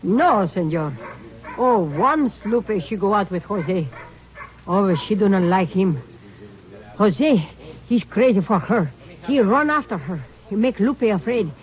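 A woman's voice: medium pitch, animated, a little slow, natural voice. Lady doing a worried mexican mom, broken english, out of breath, dull old radio sound. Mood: anxious. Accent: Mexican Spanish. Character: older Mexican mother, cotton picker, protective. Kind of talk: 1950s radio acting.

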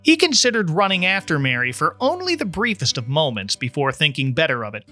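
Very bright, lively male narrator. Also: a little high, brisk, faint music behind. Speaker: podcaster, guest reader. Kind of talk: podcast promo and audiobook-style narration.

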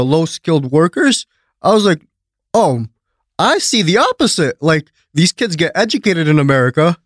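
A man with a slightly high voice, speaking earnestly as an interview guest and tripping over the opening words of his sentences. The recording is dry and close. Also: no echo. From Greece. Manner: earnest, stammers on starts. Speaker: guest, talent agency principal. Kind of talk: casual interview talk.

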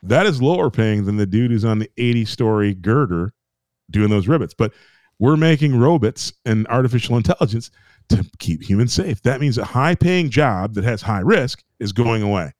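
A man's voice: mid-range voice, animated, normal speed, a little mellow, no room sound. An emphatic, rambling American man ranting. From United States. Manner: emphatic, repetitive, rambling with restarts and swearing. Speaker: podcast co-host. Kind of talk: ranting casual podcast chat.

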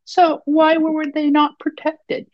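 A woman's voice: sits high, monotone, slow pace, no echo, lo-fi sound, pitched above the performer's own voice. Cold French executive, as a woman reads her. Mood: probing. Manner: cold, probing, insistent. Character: poised scheming French woman executive, fifty-three.

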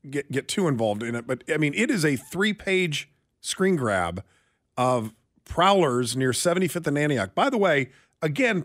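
A man's voice: a little high, fairly bright tone. An American man co-hosting a radio show in casual banter, telling stories in a wry, rambling way.

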